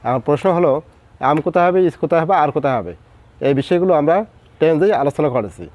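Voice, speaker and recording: A man with a Bangladeshi accent narrating a scripted grammar lesson as a teacher, methodical, slowing down to spell out English example words. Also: on the high side, lively intonation, darkish tone, dry recording.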